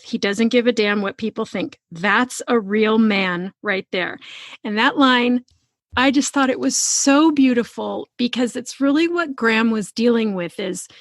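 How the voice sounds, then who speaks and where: A woman podcast host talking conversationally about books in an earnest, expressive manner. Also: a little high, no room sound, studio quality. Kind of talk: conversational book discussion.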